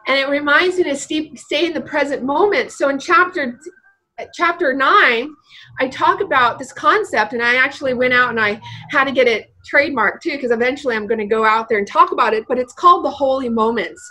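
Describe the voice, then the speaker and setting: A woman's voice: high-pitched, brisk, low-quality recording. A woman from Vietnam, a guest author, talking earnestly and animatedly in a casual interview, with frequent fillers. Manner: earnest, animated, heavy use of "like".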